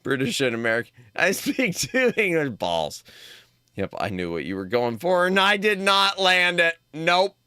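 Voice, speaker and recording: Animated jokey man streaming a game. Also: a little high, normal speed, clean tone, no room sound, clean studio sound. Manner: jokey, animated, talks to chat. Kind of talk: live game commentary.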